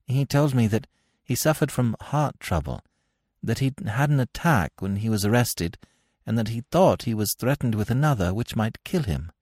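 A man's voice: on the low side, a little mellow, dry recording, studio quality. A man voicing an uneasy, respectful prison warder in an audiobook, hesitant but dogged. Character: uneasy, deferential male prison warder, ex-military.

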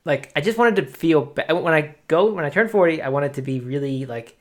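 A man's voice: fairly high pitch, fairly quick. A man in his late thirties co-hosting a podcast, talking casually and rambling with lots of fillers and restarts. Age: 30s. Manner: rambling, self-deprecating, many fillers. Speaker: podcast co-host. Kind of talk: casual chat.

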